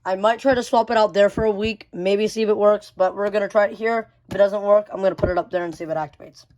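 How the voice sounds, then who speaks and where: A woman's voice: medium pitch, fairly even intonation, fast talker, a little mellow, muffled recording. A woman giving a casual, unscripted show-and-tell of a collection, rambling and often repeating phrases. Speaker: radio collector showing a collection.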